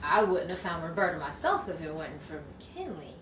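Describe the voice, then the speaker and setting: A woman's voice: sits low, big pitch swings, moderate pace, a bit bright. Woman acting as a wry noir girl reporter, echoey. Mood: wry, musing. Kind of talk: noir radio-play narration and dialogue. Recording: echoey, distant, noisy room recording.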